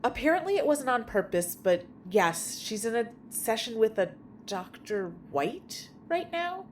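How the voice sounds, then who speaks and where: A woman's voice: on the high side, sing-song, unhurried, a little hiss or hum. A woman playing an anxious, easily exasperated woman in an audio drama, fretful in manner. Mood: frustrated.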